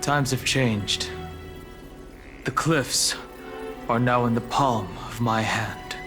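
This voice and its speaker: A man playing a steadfast, stoic warrior in a game, speaking in a measured and earnest way. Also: mid-range voice, steady tone, music in the background, lightly acted. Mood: determined. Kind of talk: dramatic game voice acting.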